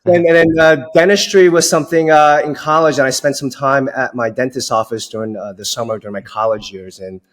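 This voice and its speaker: A man's voice: mid-range voice, brisk, hardly any pauses, poor audio. A man in his forties from Long Island, New York, talking casually as an interview guest and often saying "you know". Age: 40s. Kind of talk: casual conversational storytelling.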